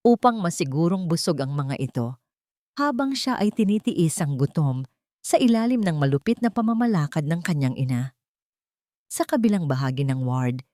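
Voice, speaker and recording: A woman's voice: lowish voice, darkish tone, dry recording, clean studio sound. A woman with a Filipino accent reading a scripted story as a dramatic audiobook narration.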